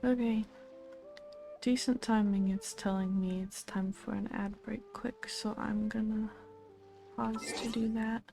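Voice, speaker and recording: A woman's voice: medium pitch, little pitch movement, unhurried, vocal fry, mellow, faint music behind. A woman streaming a game, making casual asides to her viewers. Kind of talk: casual gaming stream chat.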